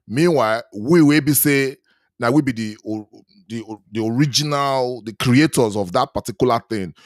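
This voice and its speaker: A man's voice: medium pitch, no room sound. Man co-host, dry close sound. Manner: measured, explanatory, frequent fillers. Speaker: podcast co-host. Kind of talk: casual chat, live podcast.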